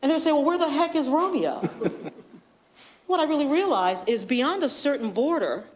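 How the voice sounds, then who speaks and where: Woman, loud voice, fairly high pitch, lively storyteller, speaking at a township meeting. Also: moderate pace, a little hiss or hum. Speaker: board member presenting. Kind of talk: public meeting presentation.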